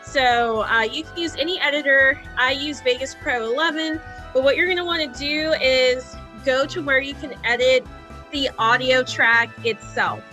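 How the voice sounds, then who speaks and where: A woman's voice: high-pitched, normal speed, bright, forceful tone, soft background music. A woman hosting a video editing tutorial in a casual, chatty, informal way.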